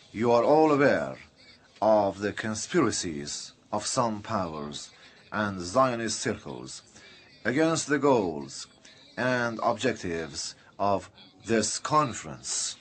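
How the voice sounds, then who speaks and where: A man delivers a live interpretation of a formal political speech. His pace is slow and halting, broken into uneven bursts. His pitch swings widely through the phrases, giving the delivery an animated feel. His voice sits a little on the low side.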